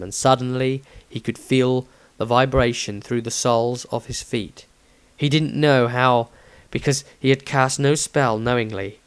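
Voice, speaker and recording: A man reading his own fantasy story aloud as scripted narration. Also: mid-range voice.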